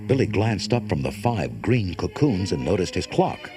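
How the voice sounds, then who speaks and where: A man narrates a children's story with dramatic flair and a hugely varied, fairly low voice. Loud music plays behind him on a cassette transfer. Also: very animated, moderate pace.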